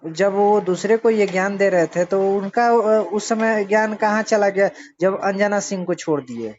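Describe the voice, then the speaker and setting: A man interviewing someone casually over the phone, asking probing questions in a halting way. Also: sits high, a little hiss or hum. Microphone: phone call. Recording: dull lo-fi phone call recording.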